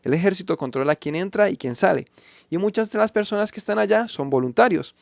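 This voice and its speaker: A man with a somewhat high, gravelly voice delivers scripted commentary on Bible passages. He reads the verses slowly and with emphasis. The sound is dry and close, with no room around it. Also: lively intonation, no room sound. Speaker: channel host refuting a pastor.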